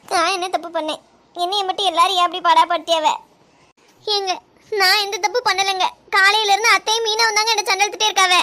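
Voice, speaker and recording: A woman voices a young wife who argues in quick, sharp retorts. The voice is very high and bright, and it races along. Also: fairly even intonation. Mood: hurt. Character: quarrelsome young wife defending herself. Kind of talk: comic family skit.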